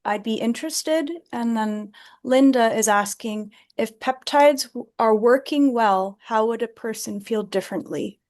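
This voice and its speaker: A woman's voice: on the high side, darkish tone. A woman co-hosting a webinar, reading out audience questions from the chat. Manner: reads out questions slowly.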